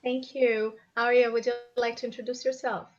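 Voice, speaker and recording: Female English teacher from Brazil hosting a group call; high-ish voice over muffled audio. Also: little pitch movement, normal speed. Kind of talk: online group video call. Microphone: video call.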